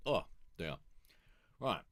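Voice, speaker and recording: A man's voice: takes it slowly, noisy background. A man streaming music reviews, chatting casually and rambling with lots of fillers, restarts and the odd swear word. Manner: consciously keeps his voice raised above its natural depth. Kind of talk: casual chat.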